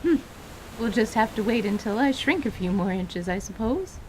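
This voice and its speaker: A woman voicing a kindly elderly grandmother, gentle and measured, doting but a little stern, in an audio drama. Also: medium pitch, animated, slight background noise, close to an everyday voice, low-quality recording. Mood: fond.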